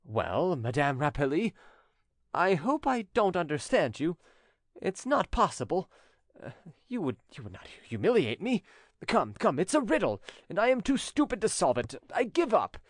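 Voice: medium pitch, huge pitch swings, normal speed, no echo, clean studio sound, pitched above the performer's own voice. A narrator voicing a proud, scheming male French notary who feigns innocence, in an audiobook story. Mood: flustered.

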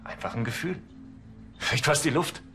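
An actor playing a rattled, defensive male suspect under police questioning in a TV crime comedy, with a rough voice. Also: a little high, moderate pace, bright, forceful tone, soft background music. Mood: unsure. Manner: defensive, protesting. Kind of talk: TV comedy crime series acting.

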